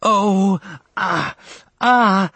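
A man's voice: mid-range voice, normal speed, dry recording, voice pushed up. A man voicing a melodramatic wife who pleads and wails in pain. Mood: wailing in pain. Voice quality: wailing, breathy moans. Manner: pleading, crying out.

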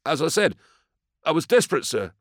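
A male narrator acts out a cringing farmer standing trial in an audiobook story. The voice is pitched up high, nervous and pleading, with an anxious, faltering deference. The sound is close and dry. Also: very animated, brisk, no echo. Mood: desperate. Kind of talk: audiobook character acting.

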